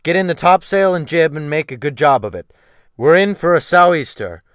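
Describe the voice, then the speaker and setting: A man voicing a brutal, commanding sea captain in a dramatic audiobook, barking brisk orders with a quick temper. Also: fairly high pitch, lively intonation, no echo, clean studio sound, pitched above the performer's own voice, lightly acted. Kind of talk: dramatic audiobook character acting.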